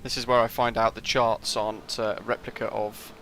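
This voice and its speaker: A man's voice: on the low side, level tone, fairly quick, a bit bright, a little hiss or hum. A young British man casually commenting on a live flight sim stream, rambling with lots of fillers and answering chat.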